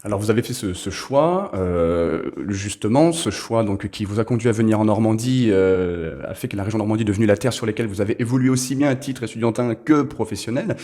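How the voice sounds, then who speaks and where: A man's voice: fairly low pitch, a little fast, hardly any pauses, mellow. Male podcast host, wordy and courteous. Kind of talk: formal podcast interview hosting.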